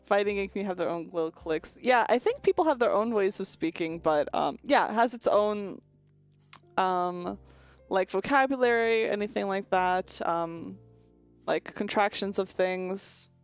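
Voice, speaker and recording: A woman's voice: medium pitch, lively intonation, measured pace. Young woman streamer just chatting, rambly and blunt, swears a bit, faint music behind her. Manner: candid, rambling, frequent "like" and "um", swears casually. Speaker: VTuber streamer. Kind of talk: casual chat answering viewer questions.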